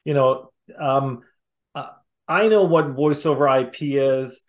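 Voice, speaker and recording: A middle-aged man hosting a casual interview on a video call, talking haltingly and repeating words as he goes. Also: medium pitch. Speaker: interviewer, host.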